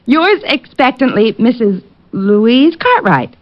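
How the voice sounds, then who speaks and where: An actress playing a detective's amused, fondly exasperated American girlfriend in a teasing, expressive old radio comedy-drama style. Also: on the high side, slow pace, heavily acted. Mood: amused reading. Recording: dull old-time radio recording.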